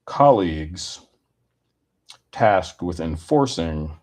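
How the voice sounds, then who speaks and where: A man giving a rambling personal opinion monologue in a deliberate, halting manner with wordy, formal phrasing. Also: deep voice, expressive, a little mellow.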